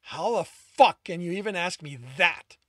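A man voicing an anguished male creature with fur, shouting and swearing through tears. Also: high voice, unhurried, dry recording, clean studio sound. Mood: furious. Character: anguished furred male creature, bitter and furious. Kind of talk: audiobook reading by the author.

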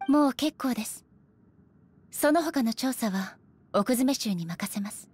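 An actress playing a stern, dignified female ruler in an anime game, speaking formally and with measured authority. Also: fairly high pitch, animated, darkish tone, a little hiss or hum. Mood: stern, commanding. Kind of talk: Japanese anime game voice acting.

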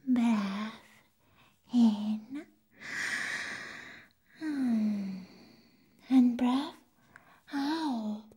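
A young woman playing an eccentric, flustered vampire nurse in a whispered ASMR roleplay, slow and halting, repeating her words. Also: fairly high pitch, warm tone, full character voice. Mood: slow, soothing. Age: young adult. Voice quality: breathy, whispery. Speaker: ASMR performer. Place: small indoor room set as a clinic. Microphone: close microphone.

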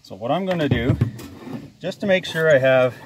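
A man's voice: a little high, expressive, normal speed. A man hosting a hands-on repair vlog, chatting casually and folksily to viewers, with wry jokes and muttered asides. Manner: folksy, wryly joking, muttering asides to himself. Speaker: host of a do-it-yourself repair vlog. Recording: loud clattering and clicking work noise.

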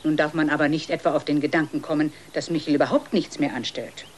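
A woman narrator telling a scripted children's story in a measured storytelling manner. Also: low voice, fairly even intonation, slight background noise.

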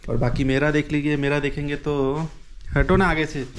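A man's voice: a little high, fairly even intonation, moderate pace. A man talking casually to viewers as a travel vlogger, informal and colloquial. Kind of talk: casual vlog chat to camera.

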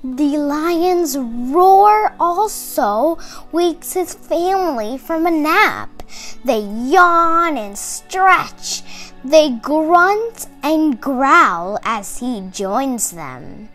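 A young girl reads a children's book aloud in a high, very animated voice. She phrases carefully and takes her time, stressing key words. Soft music plays behind her. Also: very slow. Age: child, about 8 to 11. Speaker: young presenter reading a picture book aloud. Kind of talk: expressive read-aloud for kids.

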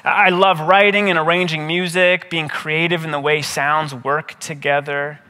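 Man in his thirties, higher-pitched bright voice, measured pastor's sermon, deliberate phrasing. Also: flat delivery. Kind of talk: sermon, scripted teaching with scripture readings. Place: indoor stage, seated at a table. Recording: reverberant hall.

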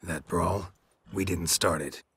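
A man playing a stoic, weary monster-hunting warrior in a video game, gravelly, terse and deadpan. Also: low voice, takes it slowly, a little hiss or hum. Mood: calm, terse. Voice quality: gravelly, husky. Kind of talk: video game cutscene acting.

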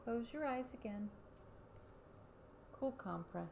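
A woman in her thirties speaking softly and gently in an ASMR personal-attention roleplay, close up in a room at home. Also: a little high, lively intonation, slow pace, creaky, a little hiss or hum. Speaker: ASMR host playing a barber giving a facial. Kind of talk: soft-spoken ASMR roleplay.

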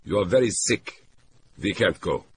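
Man acting out an exasperated man, agitated, insistent short sentences, lively pitch, audiobook drama, faint background noise. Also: unhurried. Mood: grave, exasperated. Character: agitated, exasperated man tending a disturbed woman.

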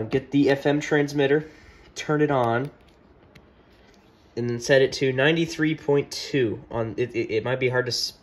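A man giving a casual hands-on tech tutorial in a hesitant, halting way, often repeating himself, recorded indoors at a desk. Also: medium pitch. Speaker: tutorial maker. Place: indoor room at a wooden desk. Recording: noticeable room background noise.

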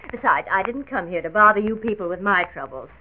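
A woman's voice: medium pitch, expressive, quick pace, lightly acted. Modest American woman decorator, played by an actress, earnest and self-deprecating; thin, faintly hissy 1940s radio sound. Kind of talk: late 1940s radio drama acting. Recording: tinny, hissy 1940s radio transcription disc.

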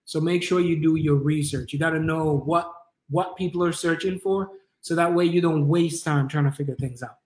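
A man's voice: on the high side, steady tone, normal speed, hardly any pauses, a little mellow. A man in his thirties with a Jamaican accent, giving a casual live tutorial in an informal, encouraging, rambling way. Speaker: online business coach and livestream host. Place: kitchen or living room at home, indoors.

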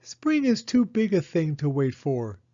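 A man's voice: a little high, big pitch swings, measured pace, dark tone, dull audio, voice pushed up. A man voicing a sweet, eager, curious little girl mouse in a children's storybook, with earnest childlike questioning. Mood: curious, doubtful.

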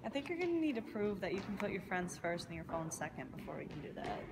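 Young woman acting female student friend, amateur skit, animated, fast talker, heavy background noise. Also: on the low side. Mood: guarded. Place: school gym. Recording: very noisy school gym, distant mic.

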